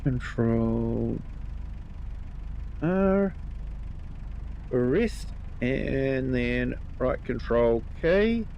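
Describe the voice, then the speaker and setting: A man with a New Zealand accent talks over a live game stream at an unhurried pace. He sounds relaxed and friendly, with plenty of ums, and his voice is a touch croaky from a recent cold. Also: mid-range voice, muffled recording, a little hiss or hum. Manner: relaxed, chatty, greets viewers by name, many ums. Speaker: livestream host. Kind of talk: live gameplay commentary.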